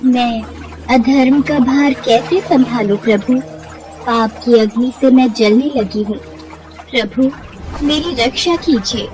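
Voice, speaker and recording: A woman's voice: fairly high pitch, slow, recorded from a distance, over music, poor audio. A woman playing a suffering earth goddess, solemn and pleading, in a mythological story dramatization. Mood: anguished pleading.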